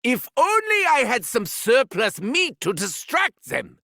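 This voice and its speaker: Wildly swooping, gleeful German mad scientist. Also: male voice, very high voice, huge pitch swings, measured pace, pushed and bright, no echo, studio quality, exaggerated voice. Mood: exasperated glee. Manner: theatrical, swooping from gleeful shrieks to sinister murmurs. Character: gleeful sadistic mad scientist villain, theatrical and taunting. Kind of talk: video game character voice lines.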